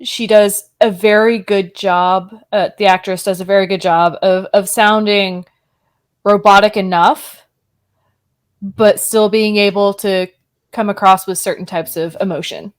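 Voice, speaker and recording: A woman's voice: mid-range voice, fairly even intonation, unhurried. Enthusiastic woman podcast guest. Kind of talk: casual podcast review chat.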